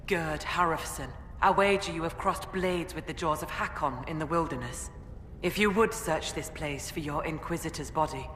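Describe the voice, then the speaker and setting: A stately chieftain, echoing hall. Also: female voice, low voice, fairly bright tone. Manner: stately, formal pronouncements. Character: dignified female chieftain of a hill tribe, welcoming. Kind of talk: fantasy video game acting. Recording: echoey hall with background noise.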